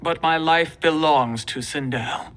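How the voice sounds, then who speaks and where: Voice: medium pitch, measured pace, a bit bright, faint music behind, lightly acted. A performer playing a proud, stern monster warrior queen in a video game cutscene, speaking formally and haughtily. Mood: grave, resolute.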